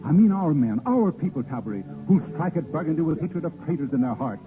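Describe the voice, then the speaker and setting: A man playing an impassioned rebel leader in a vintage radio play. He is commanding and theatrical, with sweeping pitch, music around him and thin, old broadcast sound. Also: animated, quick pace, lightly acted. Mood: urgent, fervent. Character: fervent, commanding male rebel poet-leader. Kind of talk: 1930s radio drama acting. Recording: narrow-band 1930s radio recording.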